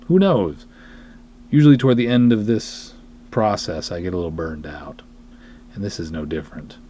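Low-voiced man rambling on a podcast. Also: moderate pace, dark tone. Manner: rambling, self-deprecating. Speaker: podcast host, film writer and director. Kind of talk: casual podcast monologue.

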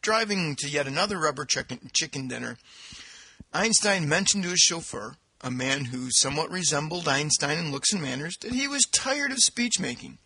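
A man co-hosting a casual podcast, giggling and telling jokes. His voice is gravelly and bright, pitched a little high, and the sound is dry and close. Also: animated, normal speed, no echo, rough recording. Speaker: podcast co-host.

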